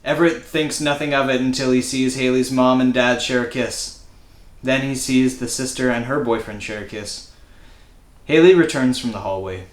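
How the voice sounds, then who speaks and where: A man's voice: medium pitch, normal speed. A man narrating a screenplay, reading out the stage directions. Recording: echoey room.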